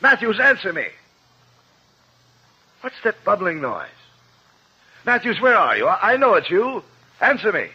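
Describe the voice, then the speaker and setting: Theatrical, needling villain for vintage radio crime play; lively intonation, husky edge. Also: male voice, sits high, measured pace, long pauses, exaggerated voice. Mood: alarmed, demanding. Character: sneering, bullying male villain, wealthy and mocking. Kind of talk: 1950s radio crime drama acting. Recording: muffled old 1950s radio recording.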